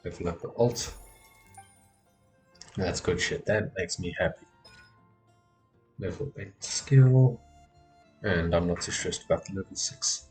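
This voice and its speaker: A man's voice: deep voice, slow, long pauses, a little mellow. A man giving casual live gameplay commentary, muttering to himself and often repeating words. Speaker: game streamer. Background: game music.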